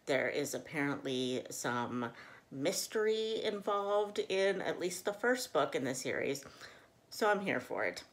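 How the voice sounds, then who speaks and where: Low-voiced, unhurried woman vlogger. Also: very animated. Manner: cheerful, chuckles often. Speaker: host of a book channel and read-along co-host. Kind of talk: casual chatty vlog to camera. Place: small living room indoors.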